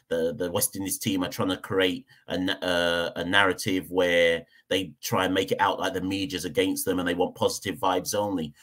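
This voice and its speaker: A man's voice: sits low, a bit bright. A man from Jamaica, hosting a cricket podcast and giving a heated opinion rant, hammering points home by repeating them. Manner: emphatic, repeats points for stress.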